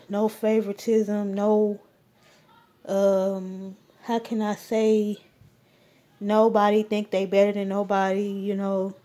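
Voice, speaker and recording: A woman chatting casually in a home grocery haul vlog, rambling with many ums and filming on a handheld phone. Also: mid-range voice. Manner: rambling, full of ums, warm shout-outs. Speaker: vlogger. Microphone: phone camera held while filming.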